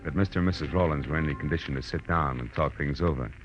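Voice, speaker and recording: An actor playing a tough, wry private eye in a 1940s radio crime drama, speaking in clipped slang on an old recording. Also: male voice, rumbling low, rapid-fire, dull audio, over music. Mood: grim narration. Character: hard-boiled male private eye, wry, tough and insistent. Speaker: detective and first-person narrator.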